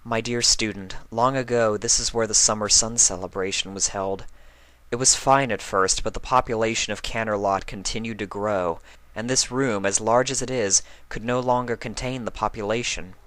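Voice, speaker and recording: A man voicing a calm, regal, motherly alicorn princess in a story reading, measured, gracious and formal. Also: on the low side, no room sound, voice pushed up, natural voice. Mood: explaining, gracious.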